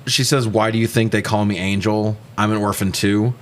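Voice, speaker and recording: A man with a fairly low voice chats as a podcast co-host. He runs through a film recap casually, with irreverent jokes and plenty of swearing. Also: fairly even intonation, moderate pace, a little hiss or hum. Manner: irreverent, joking, profane. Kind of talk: casual movie recap banter.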